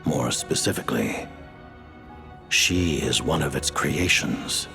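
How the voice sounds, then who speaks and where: An actor playing an ancient, commanding male leader who speaks in slow, measured pronouncements in a game cutscene. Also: rumbling low, music in the background, full character voice. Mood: solemn. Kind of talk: video game cutscene voice acting.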